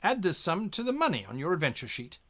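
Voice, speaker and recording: A man narrating a gamebook as game master on a live stream, with lively asides and pirate growls. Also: high-pitched, fast.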